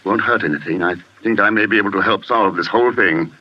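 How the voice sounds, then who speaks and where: Actor as low-voiced brooding writer, old radio. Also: male voice, lightly acted. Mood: grave, reassuring. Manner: literate, theatrical, deliberate. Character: cultured, bereaved detective writer plotting revenge, brooding and articulate. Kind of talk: 1940s radio mystery acting. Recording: muffled, faintly noisy old radio recording.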